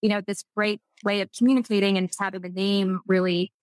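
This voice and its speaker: A woman's voice: medium pitch, little pitch movement, fairly quick, a little mellow, no room sound. A woman from Texas with a Texan accent, a guest on an interview podcast, speaking in a thoughtful, earnest way. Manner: thoughtful, earnest, some restarts. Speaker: guest, food manufacturing executive. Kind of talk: casual interview chat.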